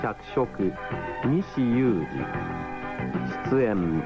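A man's voice: mid-range voice, expressive, extremely slow. A man reading scripted announcements as the announcer of a radio program. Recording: dull old radio recording, loud music.

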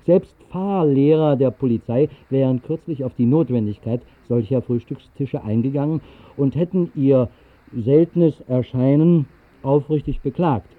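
A man plays a wry vagrant poet narrating a radio play. His medium-pitched delivery is dry and measured. The old recording sounds thin, like a phone line. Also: natural voice. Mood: wry narration. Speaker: first-person narrator. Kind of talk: 1960s literary radio drama narration.

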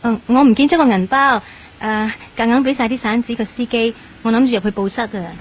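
A woman's voice: on the high side, fairly even intonation, fairly quick, slight background noise, close to an everyday voice. An actress playing an earnest, flustered young woman in a radio drama, speaking Hong Kong Cantonese in a polite, insistent, talkative way. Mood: polite, grateful.

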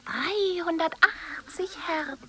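A woman playing an eerie reciter of insect lore in avant-garde radio, with fragmented, often breathy phrasing. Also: very high-pitched, slow, fairly bright tone, slight background noise, exaggerated voice.

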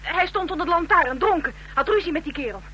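A man in a radio play acting a nervous, excitable witness who stammers and protests. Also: extremely high pitch, fast, heavily acted. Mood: nervous, eager. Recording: old radio recording with faint hum.

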